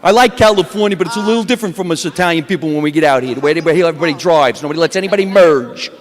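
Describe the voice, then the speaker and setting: A stand-up comedian with a New Jersey accent, delivering a deadpan wisecracking set onstage. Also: male voice, on the high side, very fast. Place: comedy awards stage. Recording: long hall reverb from a stage.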